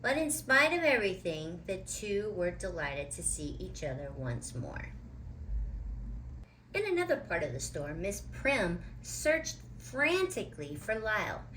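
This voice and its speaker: A woman reading a children's picture book aloud with deliberate, dramatic phrasing. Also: mid-range voice, sing-song, fairly bright tone, a little hiss or hum, rough recording. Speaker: children's storybook reader.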